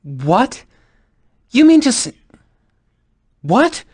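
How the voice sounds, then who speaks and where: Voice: medium pitch, sing-song, very slow, a little mellow, husky, dry recording, studio quality. A voice actor in game recording outtakes, speaking in a playful, sassy, teasing way.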